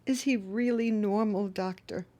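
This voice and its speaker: A woman's voice: mid-range voice, steady tone, unhurried, warm tone, a little hiss or hum, natural voice. A woman in a radio drama playing an anxious, somewhat formal mother of grown children, speaking hesitantly.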